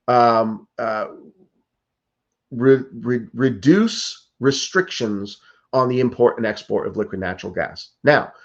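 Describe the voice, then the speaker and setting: A man's voice: medium pitch, lo-fi sound. A man in his fifties from the United States, a political candidate answering interview questions in an earnest, urgent way, with frequent restarts and fillers. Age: 50s. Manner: earnest and urgent, many restarts and "like", "you know".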